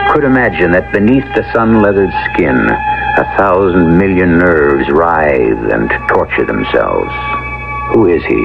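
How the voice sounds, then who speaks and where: Deep, gravelly male suspense narrator. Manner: ominous, theatrical. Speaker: host and narrator. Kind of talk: scripted suspense radio narration. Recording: tinny old radio broadcast, loud music.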